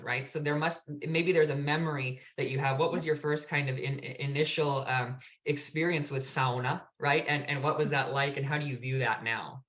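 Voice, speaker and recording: A man's voice: a little high, a bit bright. A man in his thirties hosting a video call interview, friendly and hedging with "kind of" and "right?". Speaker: host, foundation director. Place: indoor home room.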